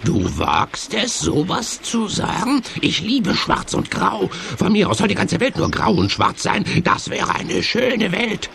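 A man playing a grumpy, mischievous, whiny villain in a children's audio play, with a squeaky, theatrical delivery. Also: wildly swooping pitch, measured pace, vocal fry, few pauses, a bit bright, a little hiss or hum, full character voice. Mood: indignant, gloomy.

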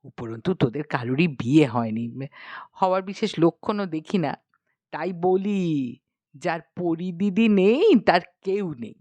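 A woman's voice: on the low side, lively intonation, a little slow, warm tone, no echo, dull audio, pitched below the performer's own voice. A woman voicing a fretful middle-aged queen mother in a storybook, chiding and nagging in a motherly way. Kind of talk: dramatised storybook reading.

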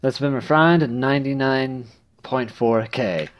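A man's voice: mid-range voice, a little slow. A man hosting a casual let's-play, bantering with mock exasperation while he plays. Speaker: gaming video host. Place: indoors at a computer in a dim room.

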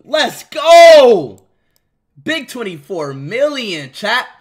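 A young man livestreaming from his bedroom on a headset mic, loud and excitable, often yelling at his chat. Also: very high-pitched, extremely slow. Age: young adult.

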